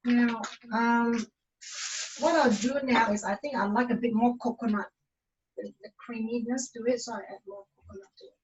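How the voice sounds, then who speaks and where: A woman from Malaysia, giving a casual cooking demonstration in a halting way, full of fillers and restarts. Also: fairly high pitch, expressive, muffled recording.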